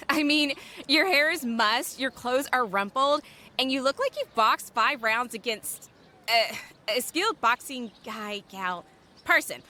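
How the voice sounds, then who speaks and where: A woman playing a teasing, outspoken but supportive young female friend in an audio drama, expressive and blunt. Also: high voice, unhurried, full character voice. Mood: playful teasing.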